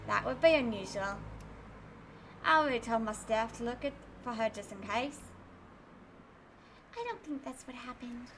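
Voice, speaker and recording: A woman voicing a calm middle-aged male bodyguard in a put-on Australian accent. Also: a little high, normal speed, long pauses, background noise.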